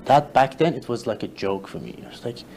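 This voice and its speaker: A man whose first language is Farsi, a guest telling his story in a casual interview, halting with many fillers and restarts. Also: medium pitch, creaky, darkish tone, a little hiss or hum. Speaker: interview guest, social media creator.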